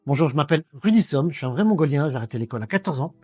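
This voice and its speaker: A middle-aged man giving an earnest sales pitch for a training program, talking straight to the listener and stumbling over frequent fillers. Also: lively intonation, very fast, darkish tone, breathy edge, no echo. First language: French. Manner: hesitant, many fillers, earnest persuading. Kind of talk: direct-to-camera sales pitch. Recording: faint background music.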